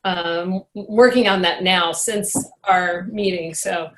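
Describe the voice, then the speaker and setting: Female attendee on a video call; slow, giggly, lots of ums. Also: on the low side, steady tone, rough recording. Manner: laughs often, hesitant with fillers. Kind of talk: casual introduction on a video call. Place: barnyard.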